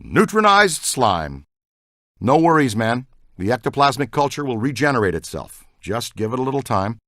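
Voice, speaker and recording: A man's voice: medium pitch, very animated, moderate pace, a bit bright, no echo, clean studio sound. A man voicing an eager, good-natured ghost-hunting scientist in a video game, earnest and technical. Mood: earnest, explaining. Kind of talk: video game dialogue, battle shouts and banter.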